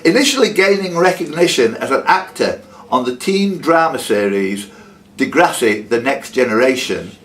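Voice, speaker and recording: Animated male quiz host, lively pitch swings. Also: moderate pace, slight background noise. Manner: teasing, jokey asides between questions. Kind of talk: live pub quiz question-reading with banter. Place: working men's club.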